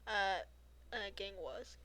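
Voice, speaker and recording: A woman's voice: medium pitch, slow pace, pushed and bright. A woman chatting casually as she plays a game on a livestream. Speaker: gamer on a livestream. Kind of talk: casual gaming chat.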